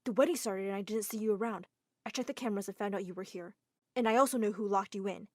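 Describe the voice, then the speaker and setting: A woman's voice: medium pitch, fast, no echo, studio quality, close to an everyday voice. A woman voicing a polite young male assistant who speaks deferentially and keeps saying ma'am.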